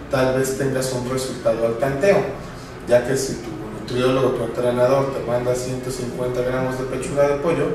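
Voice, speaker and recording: A man, earnestly advising viewers from behind his desk. His voice is middle-pitched and plain. The room rings with heavy echo, and the camera mic sits some way off, with a faint hiss underneath. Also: far from the mic. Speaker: clinic director giving nutrition tips. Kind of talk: direct-to-camera advice capsule. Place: bright office, seated at a desk. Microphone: camera at a distance. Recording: very echoey room, mic from afar.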